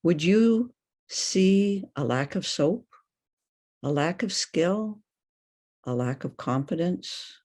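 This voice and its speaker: An older woman reading poetry aloud in a deliberate, questioning manner. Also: on the low side, expressive, a little mellow. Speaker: poet.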